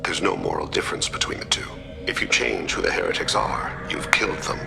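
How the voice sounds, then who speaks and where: A wary male space squadmate in a video game, extremely deep and thick with gravel. He questions and challenges with a troubled conscience, his pitch moving widely. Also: huge pitch swings, a little fast, full character voice. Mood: disapproving. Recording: loud game music behind.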